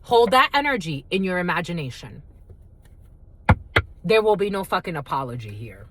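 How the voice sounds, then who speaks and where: A woman's voice: on the low side, sing-song, normal speed, heavy background noise. A woman whose first language is Spanish gives a casual tarot reading. She talks bluntly, swears freely, and calls her viewers "my lovies". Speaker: tarot reader.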